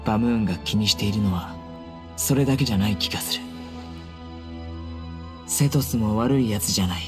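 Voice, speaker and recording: A man's voice: fairly low pitch, close to an everyday voice. Man acting a solemn young desert judge over music. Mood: grave, reflective. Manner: measured, solemn, deliberate. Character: stern, composed young male desert judge warrior. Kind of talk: anime game dialogue. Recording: game music behind the voice.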